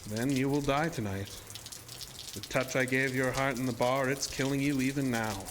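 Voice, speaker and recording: Guy doing a creepy ageless illusionist villain, theatrical and smooth-talking formal style, horror audio drama, water noise in back. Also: mid-range voice, fairly even intonation, normal speed, close to an everyday voice. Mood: quiet menace. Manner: theatrical, courtly, insinuating formal speech. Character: sinister, seductive showman-illusionist, deceptively ageless male villain.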